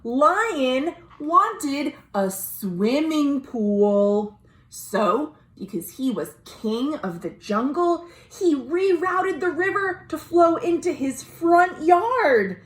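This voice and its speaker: American woman, children's storytime reader, high expressive voice, unhurried, dramatic, roomy home recording. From United States. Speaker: librarian, storytime host. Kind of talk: children's read-aloud storytelling with asides.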